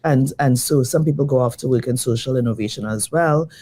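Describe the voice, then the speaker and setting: A woman design educator, slightly croaky from jet lag, answering interview questions in a lively, thoughtful way. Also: extremely low pitch, brisk. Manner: lively, thoughtful, many fillers.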